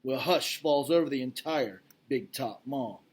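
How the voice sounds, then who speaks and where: A man's voice: mid-range voice, a little slow, breathy edge. A man reading a children's storybook aloud as narrator. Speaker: read-aloud reader.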